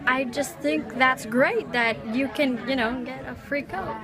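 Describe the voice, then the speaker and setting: A woman's voice: high voice, fairly even intonation, measured pace. A woman playing a hopeful, coaxing wife and mother in an amateur puppet show. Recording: crowd chatter behind.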